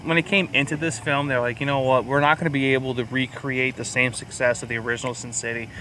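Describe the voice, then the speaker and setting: A man's voice: mid-range voice, level tone, fast talker, pushed and bright, a little hiss or hum, low-quality recording. A man chatting casually and opinionatedly as he co-hosts a movie review, rambling and swearing freely. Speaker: podcast co-host reviewing films.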